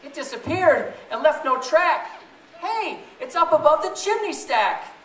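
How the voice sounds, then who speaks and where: A man's voice: very high-pitched, very animated, unhurried, fairly bright tone. Echoey, distant man reading to families. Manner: theatrical, playful, addresses the audience with questions. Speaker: children's book reader and blogger. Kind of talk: animated read-aloud to a group of families. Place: large echoey indoor room at a school event. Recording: echoey noisy room, distant mic.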